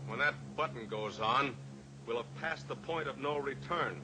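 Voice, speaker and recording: An actor playing a steely heroic cowboy pilot in an old-time radio drama, measured, taunting and authoritative. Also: male voice, medium pitch, natural voice. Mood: grim, pressing. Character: steely heroic cowboy pilot, coolly pressuring a captive killer. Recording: noisy old radio recording, engine drone.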